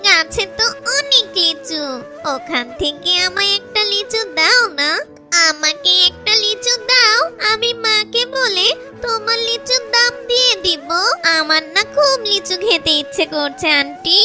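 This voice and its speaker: A woman's voice: very high voice, expressive, measured pace, sharp tone, soft background music, heavily acted. A woman voicing a poor little cartoon girl with a whining, pleading, wistful manner.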